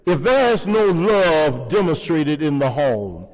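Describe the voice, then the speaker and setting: Older pastor preaching slowly in a church. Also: male voice, fairly high pitch, big pitch swings. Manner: preaching with call-and-response questions to the congregation. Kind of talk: sermon. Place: large church sanctuary, indoors. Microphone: pulpit microphone. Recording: long reverb of a church hall.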